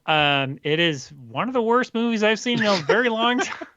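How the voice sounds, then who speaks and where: A man co-hosting a podcast with a smooth, resonant voice, blunt and dry in his judgments and peppering his speech with fillers. His voice sits a little high for a man. The recording sounds dull, lo-fi and completely dry. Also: lively intonation, normal speed, sharp tone, no echo. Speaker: podcast co-host. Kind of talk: casual chat.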